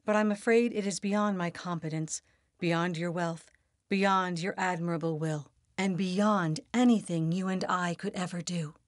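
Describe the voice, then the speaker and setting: Woman playing a low, restrained, devoted assistant. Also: steady tone, unhurried, no echo, studio quality, lightly acted. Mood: regretful. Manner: formal, restrained, earnest. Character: devoted, composed female assistant to a villain. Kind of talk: dramatic animated game cutscene acting.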